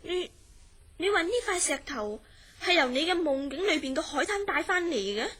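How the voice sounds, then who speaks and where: An actress playing a curious, easily astonished woman in a horror radio drama, speaking in an expressive, questioning way. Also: high voice, moderate pace. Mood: curious, puzzled. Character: curious, astonished woman recounting a friend's strange dreams. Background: background music.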